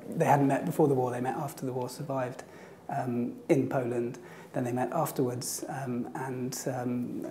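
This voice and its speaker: Male interview guest, gravelly and hoarse, mellow, measured and hesitant. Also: medium pitch, slight background noise. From England. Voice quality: slightly gravelly. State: hoarse from a lingering virus. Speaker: guest, historian and blog co-editor. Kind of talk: casual interview answers. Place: temporary studio in an office building.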